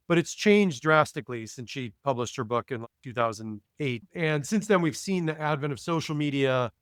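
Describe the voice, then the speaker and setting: A man's voice: mid-range voice, fairly bright tone, smooth, no echo, clean studio sound. A man hosting a podcast, reading a guest introduction and then chatting with his guest in an upbeat way. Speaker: podcast host. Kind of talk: casual podcast interview.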